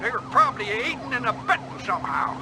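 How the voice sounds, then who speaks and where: Bossy southern sheriff, super high excitable voice, loud sirens behind, video game. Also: male voice, fast talker. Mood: urgent, bossy. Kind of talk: scripted video game acting. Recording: loud siren and car noise.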